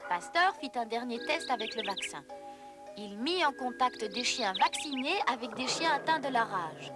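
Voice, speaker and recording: High-pitched woman narrating an educational cartoon. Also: animated, moderate pace, music in the background. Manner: storytelling, explanatory.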